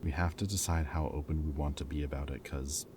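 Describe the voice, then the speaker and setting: A man playing a protective, teasing former villain in an audio roleplay, with a wry, casual, halting delivery. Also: a bass voice, fairly even intonation, background noise. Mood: earnest, reassuring.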